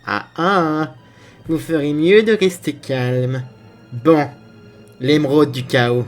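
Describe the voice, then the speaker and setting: A man's voice: on the high side, takes it slowly. A man voicing a smug, mocking mad-scientist villain in an amateur French game fan dub. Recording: background music under the voice.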